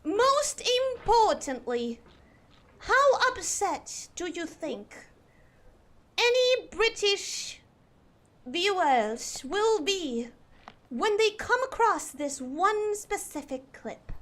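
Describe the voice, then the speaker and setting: A young woman streaming live, chatting in an exaggerated put-on posh British accent with a theatrical, drawn-out delivery. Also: very high voice, sing-song, fairly bright tone. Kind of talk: casual live-stream chat.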